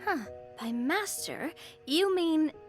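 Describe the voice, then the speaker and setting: An actress playing a spirited, inquisitive young lady in a game, with an expressive, questioning manner. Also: sits high, takes it slowly, faint music behind.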